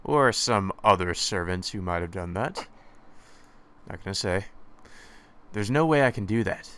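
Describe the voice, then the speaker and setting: A man's voice: deep voice, expressive, unhurried, fairly bright tone, clean tone. Man reading a visual novel aloud. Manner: plain first-person reading, sarcastic asides. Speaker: let's play narrator.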